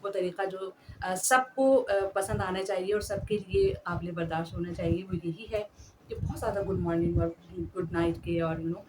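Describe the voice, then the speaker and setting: A woman's voice: on the low side, fairly even intonation, normal speed. A woman hosting an etiquette talk, speaking earnestly and politely to her listeners. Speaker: host. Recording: distant mic with clear background noise.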